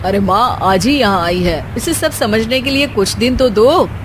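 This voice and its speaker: A woman's voice: on the high side, animated, a little slow, background noise. A woman voicing an easygoing, caring young husband in an acted cartoon story, casual and conversational. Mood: concerned.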